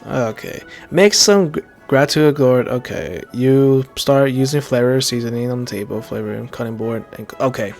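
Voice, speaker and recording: A man's voice: medium pitch, moderate pace, gravelly, hardly any pauses, darkish tone, faint music behind. A man giving casual gameplay commentary, muttering to himself and swearing freely. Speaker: video game player.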